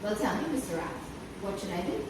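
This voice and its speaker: A woman's voice: mid-range voice, expressive, fast, natural voice. A woman voicing a hungry, gullible male cat in a storybook, eager and agreeable. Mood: trusting. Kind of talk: storybook character voice. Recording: echoey room, distant mic, clear background noise.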